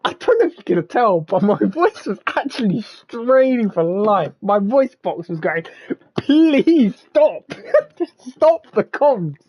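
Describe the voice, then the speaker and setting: Hoarse, stammering young race commentator. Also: male voice, high voice, very animated, takes it slowly, a little mellow, no room sound. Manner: stammering, rambling, restarts often; swears, laughs at himself. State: hoarse, voice strained from shouting. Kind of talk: casual post-race wrap-up. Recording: dull lo-fi mic.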